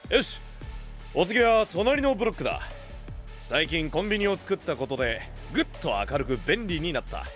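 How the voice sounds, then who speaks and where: A man's voice: fairly high pitch, very animated, moderate pace, fairly bright tone, faint music behind. A man playing a rugged, big-hearted young knight leader, brash and frank, in an anime audio drama. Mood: proud, earnest.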